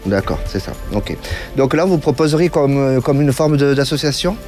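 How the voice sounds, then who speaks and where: A man's voice: medium pitch, animated, moderate pace, darkish tone, soft background music. Hesitant man hosting a call-in show. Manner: hesitant, many fillers and restarts, reassuring. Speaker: radio clairvoyant host taking calls. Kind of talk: call-in consultation.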